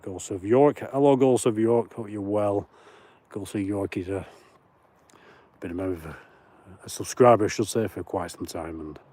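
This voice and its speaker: Deep-voiced man with a broad Lancashire accent. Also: dark tone, slightly rough. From Lancashire, England. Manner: chatty, reads viewer comments aloud, greets viewers by name. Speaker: paranormal livestream host. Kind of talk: casual livestream chat while walking. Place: outdoors on moorland at night.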